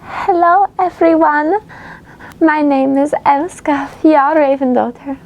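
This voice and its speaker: Woman playing a young Icelandic student, high voice, creaky, deadpan, earnest, comedy monologue. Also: slow, highs cut off. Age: young adult. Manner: deadpan, earnest about absurd tales. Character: quirky young female Icelandic design student.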